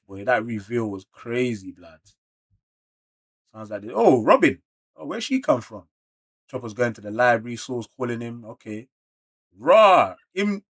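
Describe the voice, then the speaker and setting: A man with a London accent giving an excited, slangy read-along reaction to manga, full of exclamations. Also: medium pitch. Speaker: YouTube reactor.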